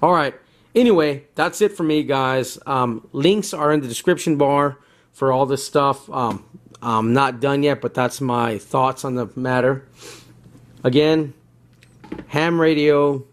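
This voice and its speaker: A man talking casually and unscripted as he demonstrates gear and gives advice, often checking in with "right?" and "you know". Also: medium pitch. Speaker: video host demonstrating radio gear.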